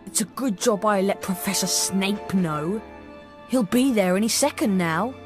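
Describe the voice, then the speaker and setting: A woman's voice: mid-range voice, a little slow, over music, close to an everyday voice. A woman voicing a sneering, gloating schoolboy bully wizard in a video game, smug and taunting.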